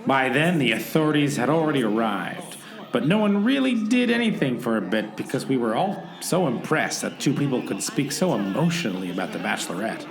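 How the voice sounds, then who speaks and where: Man playing an earnest, animated radio-play sleuth. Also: mid-range voice, a little fast, crowd noise. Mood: wry, earnest. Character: earnest noir-style male amateur sleuth narrating his rescue mission. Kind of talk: comedic radio play narration. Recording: roomy echo with music underneath.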